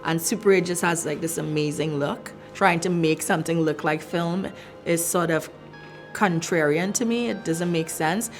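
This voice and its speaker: A woman's voice: on the low side, normal speed, faint music behind. A middle-aged woman from Trinidad and Tobago, a filmmaker reflecting on her work in a thoughtful interview with many fillers and restarts. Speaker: filmmaker, arts director.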